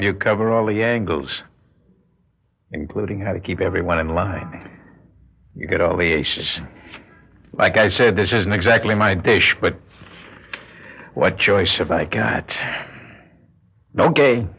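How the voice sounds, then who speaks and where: A deep, creaky-voiced male con man, world-weary and wary. He talks in a wry, street-wise way, like classic radio crime drama, on a dull lo-fi recording. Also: takes it slowly, pauses often, pushed and bright, full character voice. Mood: resigned. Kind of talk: classic radio drama acting with first-person narration.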